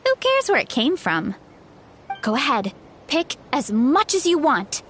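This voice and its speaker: A woman's voice: high-pitched, huge pitch swings, a little slow, fairly bright tone, slight background noise, heavily acted. A woman voicing a friendly, eager young female orchard worker in a video game, chatty, casual and upbeat. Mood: casual, enthusiastic. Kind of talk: animated video game voice acting.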